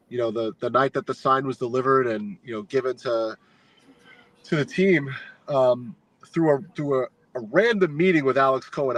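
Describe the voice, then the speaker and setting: A man as a podcast guest, telling a long fan story in a casual, animated, rambling way with lots of filler words. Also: medium pitch, dry recording, low-quality recording. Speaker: guest, fan sign maker.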